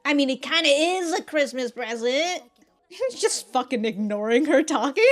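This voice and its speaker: A woman's voice: sits high, sing-song, normal speed. A woman giving casual, slangy reaction commentary as a video host, exclaiming and swearing freely.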